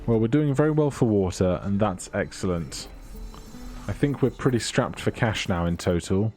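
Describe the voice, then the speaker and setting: A man's voice: fairly low pitch, lively intonation, moderate pace, darkish tone, soft background music. A man giving casual let's play commentary, muttering through items with dry humour.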